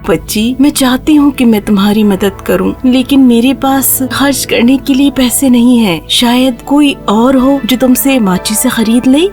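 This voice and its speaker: A woman's voice: fairly high pitch, hardly any pauses, a little mellow, faint music behind, natural voice. A woman voicing a reluctant but sympathetic lady passer-by in a children's cartoon story. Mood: gently refusing.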